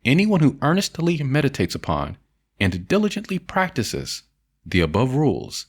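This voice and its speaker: A man narrating an audiobook of an old self-help essay in a solemn, deliberate manner, stressing phrases and drawing out their endings. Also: mid-range voice, huge pitch swings, husky.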